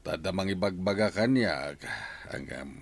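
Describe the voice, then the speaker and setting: An actor playing a conciliatory village neighbour in a Filipino radio drama, speaking with deliberate hesitation and an Ilocano accent. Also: male voice, low-pitched, lively intonation, a little hiss or hum. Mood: regretful. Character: slow-spoken male village neighbour who kept a lion, conciliatory.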